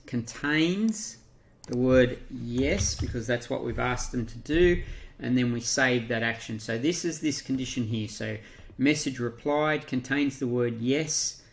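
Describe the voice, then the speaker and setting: An Australian man giving an unscripted software walkthrough, talking through each step haltingly as he thinks aloud. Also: mid-range voice, gravelly. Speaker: software tutorial presenter. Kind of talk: unscripted screen-share walkthrough.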